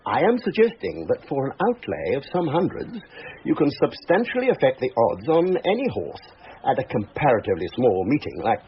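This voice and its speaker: An actor playing a precise, knowing racing brigadier in a crime drama, measured and formal as he explains. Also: medium pitch, lightly acted. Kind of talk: British police drama acting. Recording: dull lo-fi audio with background hiss.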